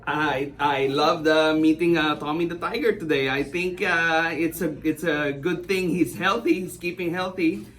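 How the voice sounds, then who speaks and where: A show host chatting casually in a halting, hesitant way. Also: medium pitch, fairly bright tone. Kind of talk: casual unscripted show chat. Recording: distant mic with background noise.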